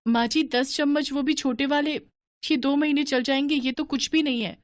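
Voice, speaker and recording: A woman's voice: high-pitched, steady tone, moderate pace, dry recording, studio quality, voice pushed up, close to an everyday voice. A woman doing a young pleading daughter-in-law.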